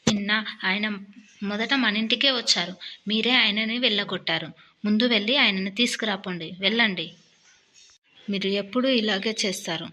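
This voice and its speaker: Woman acting aggrieved village wife, complaining and urging, mid-pitched, bright sharp voice, cartoon dialogue, slight noise. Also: a little fast, natural voice. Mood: scolding. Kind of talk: moral story cartoon dialogue.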